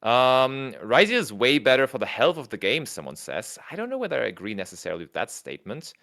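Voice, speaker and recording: A man's voice: medium pitch, sing-song, a little fast, fairly bright tone. A man with a German accent doing casual livestream commentary, chatting with his viewers, reading their messages aloud and laughing often. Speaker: tournament co-commentator.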